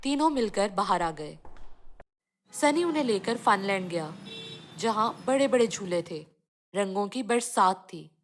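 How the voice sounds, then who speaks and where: A woman's voice: mid-range voice, expressive, a little slow, pauses often, a little hiss or hum. A woman reading a scripted children's cartoon story as the narrator.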